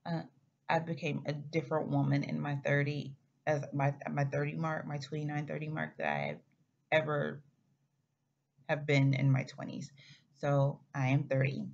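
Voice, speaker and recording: Low, gravelly-voiced woman in her thirties. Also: moderate pace, a little mellow. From Oklahoma, United States. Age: 30s. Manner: chatty, rambling, frequent self-corrections. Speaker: flight attendant vlogger. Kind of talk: casual chat to camera. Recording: slightly muffled with faint background hiss.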